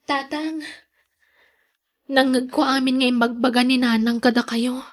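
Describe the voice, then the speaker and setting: Meek sorrowful young daughter, high voice. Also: female voice, fairly even intonation, measured pace. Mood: sad, downcast. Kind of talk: radio drama acting.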